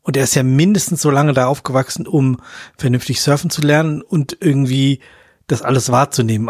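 A man's voice: medium pitch, a little mellow, dry recording, clean studio sound. A man speaking as a podcast host in a casual, colloquial film review chat with slangy turns of phrase.

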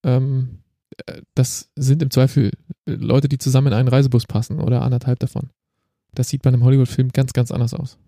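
A man co-hosting a podcast in casual chat, relaxed and explaining at length. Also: medium pitch, brisk, creaky, dry recording, clean studio sound. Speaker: podcast co-host.

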